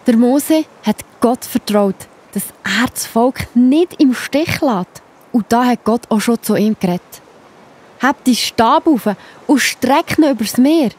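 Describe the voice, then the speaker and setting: A woman with a Swiss accent narrating Bible stories for children in an expressive, enthusiastic, simple way. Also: a little high, a little slow, slightly rough. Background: music behind the voice.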